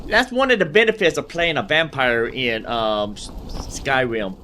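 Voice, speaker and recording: A man's voice: medium pitch, moderate pace, bright, forceful tone, a little hiss or hum. A man giving casual gameplay commentary in a folksy, rambling way, explaining things and talking to himself. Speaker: gaming host.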